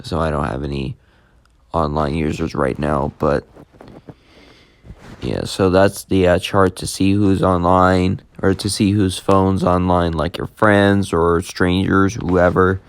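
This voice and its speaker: A man giving a casual, unscripted app tutorial, speaking plainly and haltingly as he hosts the demonstration. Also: sits low, fairly even intonation. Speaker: YouTube host demonstrating an app.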